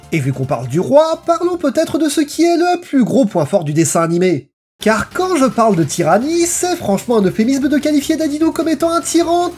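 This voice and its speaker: A narrator delivering a scripted video essay in an expressive, emphatic and colloquial way over background music. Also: medium pitch.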